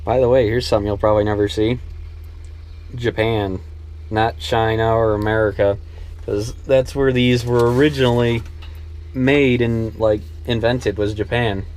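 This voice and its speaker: A man's voice: on the low side, fairly even intonation, normal speed, darkish tone. A man talking informally and thinking aloud as he works, in the style of a casual hands-on DIY vlog. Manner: loose, informal, thinking aloud while working. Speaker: vlogger restoring an old truck. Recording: clear background ambient noise.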